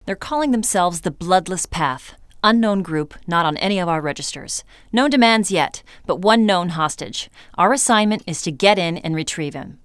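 An actress playing a composed intelligence officer in a video game, giving matter-of-fact briefings. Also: female voice, lowish voice, lively intonation, moderate pace, few pauses, fairly bright tone, clear, resonant tone, dry recording, clean studio sound. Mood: businesslike. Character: composed female covert-agency intelligence officer. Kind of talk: video game cutscene acting.

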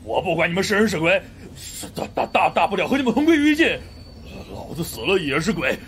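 Frightened, defiant old bandit in an audiobook: high, wildly swooping, strained stammer. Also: male voice, very animated, a little fast, fairly bright tone, a little hiss or hum, heavily acted. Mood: panicked bluster. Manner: stammering, blustering. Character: terrified, stammering, defiant old male robber.

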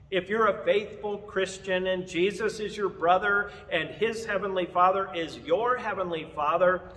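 Older pastor preaching in a reverberant church. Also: male voice, high voice, a bit bright. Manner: measured, earnest preaching with open-hand gestures. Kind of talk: Easter sermon. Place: large church sanctuary, standing at a lectern. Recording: long reverb of a big church hall.

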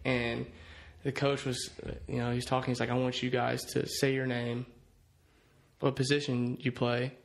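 Easygoing young man with gravelly voice. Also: medium pitch, little pitch movement, moderate pace, slight background noise. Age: 20s. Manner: easygoing, repeats words while telling stories. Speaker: guest, college quarterback. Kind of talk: casual interview storytelling. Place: podcast studio at night, indoors. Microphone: studio mic on boom arm.

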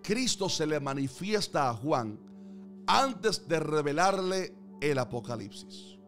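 Male preacher for a Bible sermon, slightly high-pitched, earnest and declamatory, with faint music underneath. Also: sing-song, slow, fairly bright tone. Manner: emphatic, repetitive phrasing in building lists.

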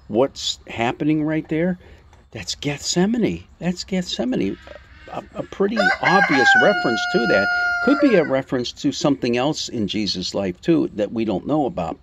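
A man in his sixties giving an informal Bible talk, explaining scripture in halting, emphatic phrases with rhetorical questions. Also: medium pitch, breathy edge. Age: 60s. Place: outdoors on a covered porch among greenery. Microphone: phone or camera at arm's length.

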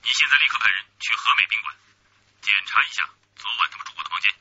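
An actor playing a serious, commanding police chief in a radio drama. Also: male voice, on the low side, very fast, close to an everyday voice. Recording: dull, very noisy, telephone-like call.

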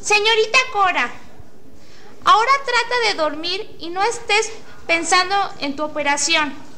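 A woman playing a young, brisk, reassuring hospital nurse in a student radio drama. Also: extremely high pitch, animated, sharp tone, full character voice. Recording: faint noise, amateur radio drama recording.

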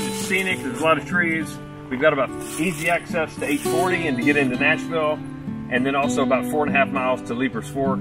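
A middle-aged man casually showing a wooded property outdoors, upbeat and informal like a salesman. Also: medium pitch. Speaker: real estate agent. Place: outdoors in woods by a creek. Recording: distant outdoor camera audio with guitar music.

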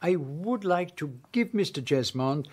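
A man's voice: on the high side, animated, unhurried, darkish tone, husky. A man playing a precise, formal Belgian detective in a radio play. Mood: measured. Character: fussy Belgian detective, middle-aged man. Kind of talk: radio drama acting.

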